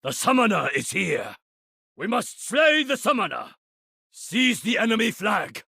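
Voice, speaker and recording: Game casting: stern, urgent warrior, bright high attack, gritty edge, dry sound, barking battle callouts. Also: male voice, sing-song, measured pace, vocal fry, husky, no echo, exaggerated voice. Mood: urgent, commanding. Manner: forceful, commanding.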